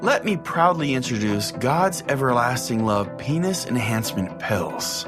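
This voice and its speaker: A man's voice: mid-range voice, big pitch swings, measured pace, over music. A man playing a crude, oblivious small-town pastor who hosts a radio call-in show, folksy and theatrically earnest. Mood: earnest pitchman. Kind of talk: comedic mock-radio sermon and host banter.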